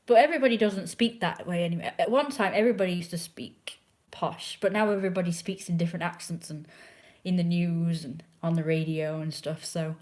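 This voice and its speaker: Hoarse young English woman chatting. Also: fairly low pitch, fairly quick. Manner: opinionated, chatty, drifts into hushed asides. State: hoarse sore throat. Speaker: livestreamer chatting with a viewer. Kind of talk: casual rambling chat. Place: indoors at home, curled in a chair.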